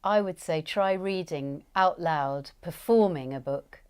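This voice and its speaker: A woman in her fifties, an audiobook narrator, chatting in a relaxed studio interview, chatty and self-deprecating. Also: on the low side, a little slow, clean tone. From England. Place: recording studio.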